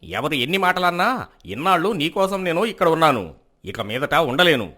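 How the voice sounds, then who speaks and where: A queen's hot-headed younger brother acted by a man in a moral-story drama. His voice is fairly high and swings widely as he complains with bitter, theatrical grievance. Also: sing-song, normal speed, bright, forceful tone, exaggerated voice. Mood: bitter, indignant.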